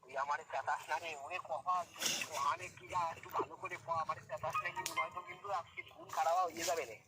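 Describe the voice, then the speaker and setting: A man's voice: on the high side, flat delivery, quick pace, over loud music. A man talking in a phone conversation, on the receiving end of a call over a noisy line. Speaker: prank call recipient. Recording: noisy telephone line with clicks.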